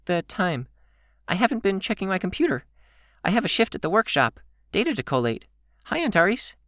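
A man's voice: sits high, sing-song, moderate pace, fairly bright tone, no room sound, studio quality, pitched above the performer's own voice. A man voicing an anxious, self-doubting young girl for an audiobook, hesitant and prone to muttering and rambling. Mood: flustered. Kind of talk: audiobook character voice.

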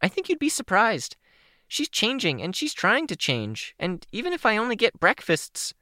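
A reader voicing a chirpy, talkative young teen girl who speaks in rushing bursts of words, in an audiobook. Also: mid-range voice, huge pitch swings, pushed and bright, no echo, clean studio sound, pitched above the performer's own voice. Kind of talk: audiobook character voice.

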